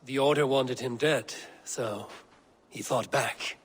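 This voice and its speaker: An actor playing a grim, proud Norse warrior with a measured, defiant manner in a video game. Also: male voice, medium pitch, natural voice. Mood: sombre. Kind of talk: video game cutscene acting. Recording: long reverb, noisy ambience behind.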